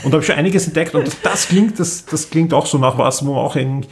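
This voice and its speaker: A man's voice: on the high side, quick pace, a little hiss or hum. A man hosting a podcast, chatting in a casual, rambling way. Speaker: podcast host. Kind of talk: casual, rambling chat about audio dramas.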